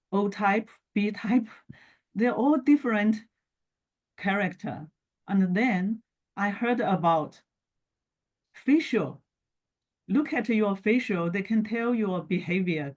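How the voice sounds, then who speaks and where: A middle-aged woman from China with a Chinese accent, speaking haltingly in short phrases as a guest in an interview. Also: mid-range voice, a little mellow. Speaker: guest, scientist and entrepreneur. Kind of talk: talk show interview, telling personal background.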